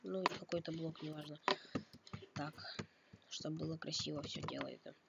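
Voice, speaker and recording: A man's voice: a little high, wildly swooping pitch, fairly quick, gravelly. A slightly ill man giving a casual game tutorial, thinking aloud and correcting himself, coughing now and then. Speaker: game tutorial video maker. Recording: dull lo-fi mic with noise. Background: keyboard and mouse clicks.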